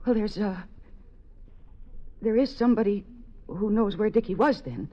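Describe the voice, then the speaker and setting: A woman's voice: mid-range voice, moderate pace, warm tone, natural voice. An actress playing a young wife pleading her husband's innocence and narrating the story in an old radio drama. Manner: earnest, pleading, urgent. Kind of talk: 1940s radio acting, first-person narration. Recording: dull old radio recording.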